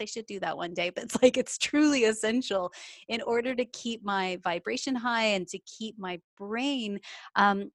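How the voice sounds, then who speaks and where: A woman's voice: fairly high pitch, a bit bright, dry recording. Upbeat Texan woman, chatty. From Texas. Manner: chatty, upbeat, lots of fillers. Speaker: small business owner and coach. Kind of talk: casual interview chat. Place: home, working remotely.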